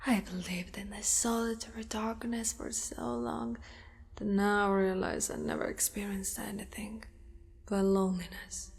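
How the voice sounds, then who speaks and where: A woman voicing a possessive, doting female spider monster in an ASMR roleplay, with formal wording and a hushed delivery that often slips into whispers. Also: mid-range voice, measured pace, gravelly. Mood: lonely, wistful. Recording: long reverberant echo.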